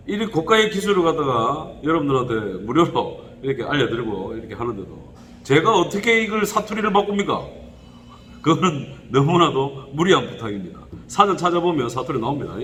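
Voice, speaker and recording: A middle-aged man speaking with a regional Korean dialect, giving casual spoken welding instruction in a rambling, animated way full of fillers. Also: fairly quick, long reverb tail. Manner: animated, rambling, with frequent fillers and pitch jumps.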